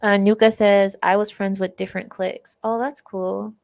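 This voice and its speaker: A woman's voice: medium pitch, little pitch movement, moderate pace, gravelly, a little mellow. Young woman streamer chatting away, laughs a lot, reads chat, does little voices of ppl in her story. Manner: chatty, laughs often, acts out quoted classmates. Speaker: livestream host. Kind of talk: casual storytelling and reading chat.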